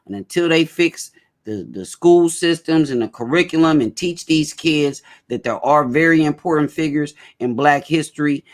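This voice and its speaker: Casting note: male host, slightly high-pitched voice, animated and emphatic delivery, repeats himself for weight. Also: moderate pace. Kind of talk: casual opinion talk.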